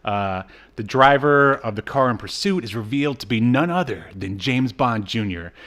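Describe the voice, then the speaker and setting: A man co-hosts a podcast in a casual, conversational way. His pitch sits in the middle, and he recounts things with wry, sarcastic asides. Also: expressive, normal speed, fairly bright tone. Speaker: podcast co-host. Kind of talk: casual episode recap.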